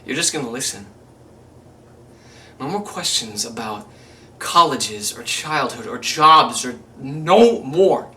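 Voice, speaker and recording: A man playing a menacing, controlling killer in a theatrical monologue, cold and volatile, with a deliberate, intimate, chilling delivery. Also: mid-range voice, lively intonation, bright, forceful tone. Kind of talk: theatrical monologue, self-taped audition. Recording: distant mic, faint room noise.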